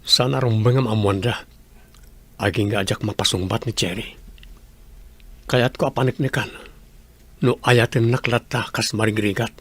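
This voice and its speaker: A man playing a secretive, composed well-off gentleman in an Ilocano radio drama, brooding and deliberate. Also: fairly low pitch, steady tone, husky, no echo.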